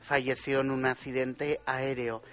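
A man's voice: medium pitch, level tone, unhurried, fairly bright tone. Chatty Spanish man presenting music on radio. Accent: Spanish from Spain. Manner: chatty, explanatory, laughs at his own pronunciation. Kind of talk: casual radio chat about music.

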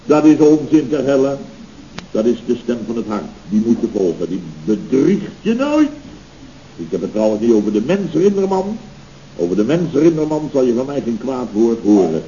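Pompous contractor, dark soft-edged voice, grandiloquent, indignant, rambling, radio comedy, muffled old recording. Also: male voice, medium pitch, sing-song, normal speed, slight background noise. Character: pompous, indignant, self-satisfied male building contractor. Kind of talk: scripted radio comedy acting.

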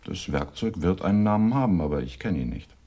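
Low, husky, sly informant. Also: male voice, expressive, mellow. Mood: sly, mysterious. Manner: deliberate, storytelling, cryptic. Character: sly criminal informant telling veiled riddles. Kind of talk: 1980s German radio drama acting.

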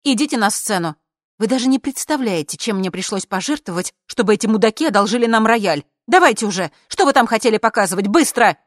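A harried, bossy female organiser, as a woman storyteller acts her out. The voice is pushed high, barking impatient orders in an audiobook scene. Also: animated, fast, no echo, studio quality, natural voice. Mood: bossy impatience. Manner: commanding, sharp-tongued, shouting.

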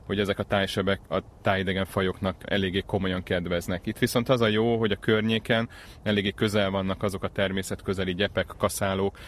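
A man explaining things as a conservation specialist in an outdoor interview, measured and precise. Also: low voice, little pitch movement. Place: outdoors in a wetland reserve.

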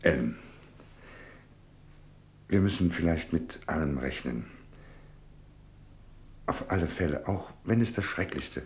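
An actor in a German radio play voicing an anxious, tender middle-aged father, speaking in a halting, earnest, pensive way. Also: very deep voice, lively intonation, reverberant, exaggerated voice. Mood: pensive, regretful.

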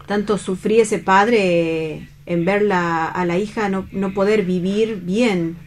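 A woman taking part in a casual discussion, making her points haltingly and restarting them. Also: mid-range voice, fairly even intonation, slight background noise. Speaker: discussion participant.

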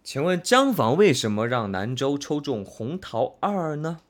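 Lively male host of a casual livestream. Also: fairly high pitch, measured pace. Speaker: host running a fan quiz livestream. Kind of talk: casual livestream hosting and chat.

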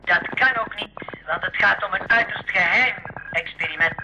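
An actor playing a stern, insistent naval commander in a radio play, formal and emphatic over a radio link. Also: male voice, high voice, normal speed, sharp tone, exaggerated voice. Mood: stern, official. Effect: radio transmission. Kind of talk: 1980s radio play acting. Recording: muffled, noisy radio transmission sound.

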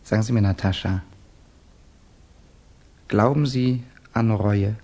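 An actor playing a guilt-tormented, brooding young Russian man with a gravelly, husky voice in a 1960s German radio drama. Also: fairly low pitch, mellow. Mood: haunted, intense. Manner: brooding, halting, intense.

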